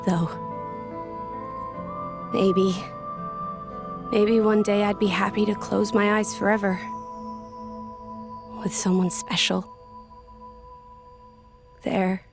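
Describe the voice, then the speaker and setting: A woman voicing a gentle, wistful, youthful immortal in a video game, soft and reflective. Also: medium pitch, little pitch movement, takes it slowly, long pauses. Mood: tender, accepting. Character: gentle, wistful, centuries-old youthful female Blade. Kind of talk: video game character acting. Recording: music behind the dialogue.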